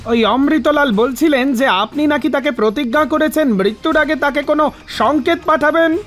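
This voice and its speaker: An actor voicing a dutiful male messenger of the death god, speaking formally in an animated mythological story. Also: high-pitched, moderate pace, soft background music, close to an everyday voice. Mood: deferential.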